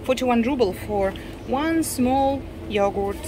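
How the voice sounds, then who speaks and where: A Russian woman with a Russian accent, talking casually as a vlogger while she walks through a grocery store, halting often with "uh" and restarts. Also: fairly high pitch. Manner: unhurried, halting, frequent uh and restarts. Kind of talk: casual shopping vlog walk-through. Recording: clear store background noise.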